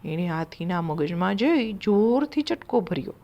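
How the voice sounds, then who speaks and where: A woman storyteller narrating a children's moral tale in an expressive, deliberate way. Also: lowish voice, lo-fi sound.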